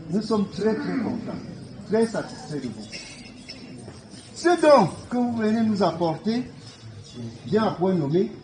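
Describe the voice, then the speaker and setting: A man's voice: high-pitched, darkish tone, reverberant, a little hiss or hum, low-quality recording. A man, a school official, gives emphatic thanks, repeating key words and reaching for a proverb. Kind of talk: formal thank-you speech.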